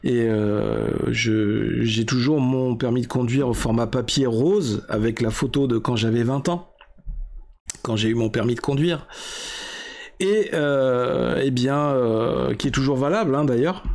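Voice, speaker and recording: A man's voice: mid-range voice, unhurried, darkish tone. A man in his forties hosting a tech show, explaining casually with an ironic, joking tone and frequent hesitations. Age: 40s. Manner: chatty, ironic, lots of filler hesitations.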